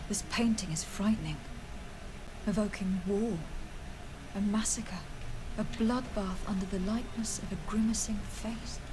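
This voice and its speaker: A composed young noblewoman adventurer in a fantasy game, played by an actress. She talks in a measured, formal way at medium pitch. Noisy ambience runs clearly behind her. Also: natural voice. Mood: uneasy musing. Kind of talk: video game voice acting.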